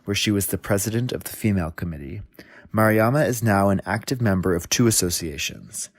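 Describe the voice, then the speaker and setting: A man reading a formal, scripted introduction of a guest in a measured way. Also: fairly low pitch, no room sound, studio quality. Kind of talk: scripted narration.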